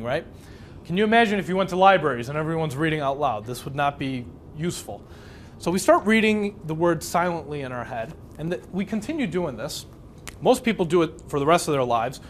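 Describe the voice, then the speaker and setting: A man's voice: fairly high pitch, slight background noise. A young man whose first language is Polish, from Chicago, teaching a live workshop in a conversational way and asking the audience many questions. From Chicago, USA. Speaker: speed reading instructor.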